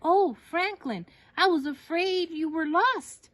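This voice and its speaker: A woman voicing a caring, reassuring mother turtle in a children's picture book read-aloud, with motherly, gentle exclamations. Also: very high voice, takes it slowly, dry recording, pitched above the performer's own voice. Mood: concerned, attentive.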